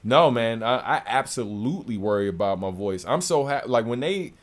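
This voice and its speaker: A man with a deep, low-register voice talks loosely and conversationally, answering questions in a home studio. His pitch sits in an ordinary middle range, and he speaks in a relaxed, unhurried way. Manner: relaxed, conversational, many fillers. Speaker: rapper and producer hosting a livestream. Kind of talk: casual livestream Q&A.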